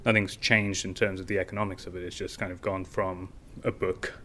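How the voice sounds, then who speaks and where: Male founder as interview guest, flat delivery, casual explainer, faint noise behind. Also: sits low, a little fast. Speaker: guest, tech company founder.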